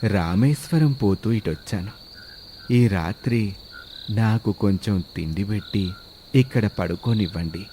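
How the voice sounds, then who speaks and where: A man voicing a humble wandering monk, solemn and gentle, in a cartoon moral story. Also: on the low side, measured pace, long pauses, a little hiss or hum, natural voice. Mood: humble, pleading.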